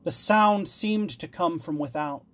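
A man voicing a placating royal courtier in a storybook, speaking in a measured way. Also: on the high side, a bit bright, voice pushed up. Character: smooth-talking male royal courtier.

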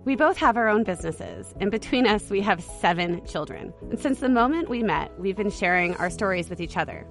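A woman podcast host reading a promo in a warm, personal way. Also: mid-range voice, animated, brisk, soft background music.

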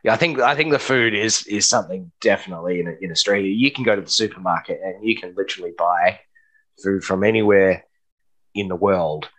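Man with a lowish, stammering voice. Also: fairly quick, a bit bright, rough recording. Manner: stammering, repeats words while thinking. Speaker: host. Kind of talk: casual interview.

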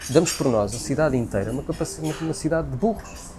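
A man's voice: on the high side, animated, fast talker. A man with a European Portuguese accent talking spontaneously and giving his opinions in an emphatic, repetitive way. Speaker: speaker sharing opinions in a conversation.

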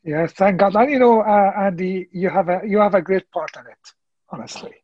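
A man, halting and earnest, pausing on fillers. His voice is husky and a bit high for a man. The sound is dry and dull, like a lo-fi remote line. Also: a little mellow, no echo. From Egypt. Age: late 50s. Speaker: ministry leader guest. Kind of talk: casual interview conversation.

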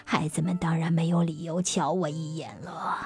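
A woman voicing a lonely, gentle retired old man in a storybook. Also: level tone, normal speed, music in the background, natural voice. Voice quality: low, hushed and breathy.

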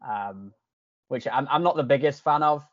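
A man's voice: mid-range voice, normal speed, fairly bright tone, no room sound, muffled recording. A man with a Yorkshire accent tinged with Canadian, chatting casually as a podcast guest with lots of restarts and the odd swear word. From England.

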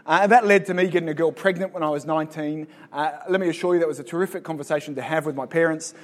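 This is an Australian man in his thirties with a slightly higher voice, telling his life story to an audience. He mixes dry, self-deprecating jokes into his answers, like a guest speaker who is comfortable in front of a crowd. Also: fairly even intonation, rapid-fire. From Sydney, Australia. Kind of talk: live interview and personal testimony to an audience.

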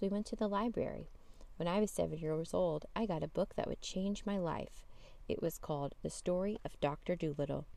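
A woman reading a children's picture book aloud as a storytime host, with expressive emphasis on key words. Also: on the low side, gravelly. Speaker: storytime podcast host and reader.

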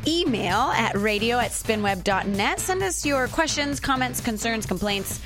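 A woman in her thirties co-hosting from an office studio, sounding sleep-deprived and tossing out dry, teasing quips. Music plays clearly in the background. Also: fairly high pitch, lively intonation, normal speed. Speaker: podcast co-host. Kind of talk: casual radio-show chat.

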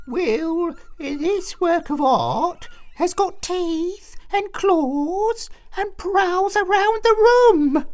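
A woman's voice: extremely high pitch, animated, very slow, hardly any pauses, a little mellow, pitched above the performer's own voice, full character voice. A woman voicing a frightened little mouse, trembling with fear as it talks. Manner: trembling, fearful.

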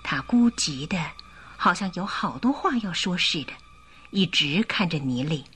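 A woman narrating a radio drama as scripted storytelling, with expressive, dramatic delivery. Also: lowish voice, unhurried, a little hiss or hum.

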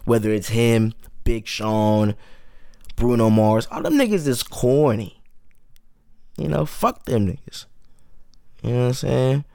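Gravelly young man ranting casually. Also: mid-range voice, slow pace, pauses often, warm tone, no echo, studio quality. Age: 20s. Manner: loose, profane, rambling commentary. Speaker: podcast host. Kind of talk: casual solo podcast chat.

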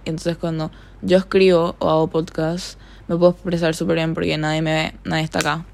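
A woman's voice: fairly low pitch, gravelly. A young woman from Paraguay with a Paraguayan accent tinged with Argentine tones, rambling in a casual solo podcast from her bedroom. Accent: Paraguayan Spanish with some Argentine tone. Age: young adult. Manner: rambling, chatty, filler-heavy. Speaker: podcast host.

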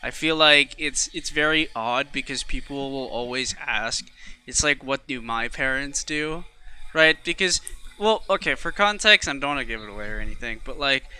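A young man from the Philippines with a strikingly bright, sharp-edged tone at a middle pitch. He chats casually, pushing his voice up emphatically when he makes a point. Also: normal speed, clean tone. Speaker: podcast co-host. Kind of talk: casual chat.

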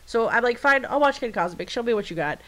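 A woman's voice: fairly high pitch, lively intonation, fast, a bit bright, rough recording. A congested woman co-hosting a podcast, chatting and telling rambling, jokey stories. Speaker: podcast co-host. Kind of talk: casual chat.

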